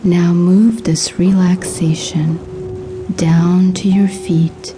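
Slow, hushed woman guiding sleep hypnosis. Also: fairly low pitch, flat delivery, over music. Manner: soothing, hushed, breathy delivery with measured pauses. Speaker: hypnosis audiobook narrator. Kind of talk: guided sleep hypnosis, scripted meditation narration.

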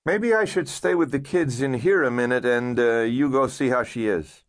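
An audiobook narrator voicing a gentle, coaxing woman social worker who is calmly persistent. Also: medium pitch, normal speed, pitched above the performer's own voice, natural voice. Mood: gentle, practical.

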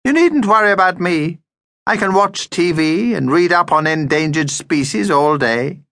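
A man's voice: sits high, expressive, moderate pace, a bit bright, dry recording, pitched above the performer's own voice. A man voicing a small, grumpy male vampire in a storybook, theatrical and self-pitying, with pompous complaining speech. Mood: self-pitying sulk.

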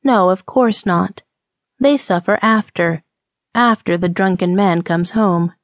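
A woman's voice: medium pitch, takes it slowly, dry recording. A woman voicing a prim, easily scandalized Old West temperance lady with proper, formal speech, in an audiobook. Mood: prim, indignant.

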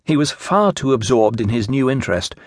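A man's voice: mid-range voice, animated, brisk, no echo, clean studio sound. A man with a British accent reading scripted narration from a children's story. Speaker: audiobook narrator.

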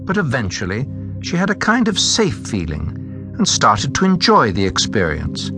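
A man narrating a children's story from a script, with expressive, suspenseful phrasing. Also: medium pitch, moderate pace, over music.

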